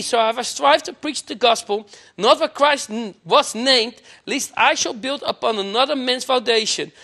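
A man's voice: very high-pitched, a bit bright. A man in his forties from the Netherlands, speaking with a Dutch accent as he preaches an earnest, emphatic sermon in a TV studio on a lapel mic. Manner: earnest and emphatic, gesturing with both hands. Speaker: pastor. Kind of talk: televised sermon teaching. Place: TV studio set.

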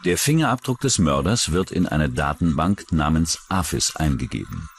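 A man's voice: extremely low pitch, sing-song, moderate pace, slight background noise. A man reading scripted narration for a true-crime documentary.

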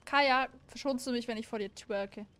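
A woman's voice: fairly high pitch, moderate pace, a bit bright, slight background noise. A young woman streaming a game from home on a headset mic, chatting playfully with friends and thanking viewers. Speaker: streamer host. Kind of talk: casual gaming stream chat. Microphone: headset and desk mic.

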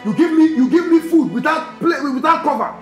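A man's voice: extremely high pitch, expressive, a little fast. A man playing a husband who grumbles about his uncovered food, stammering and repeating himself when upset. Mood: indignant. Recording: roomy echo, faint music.

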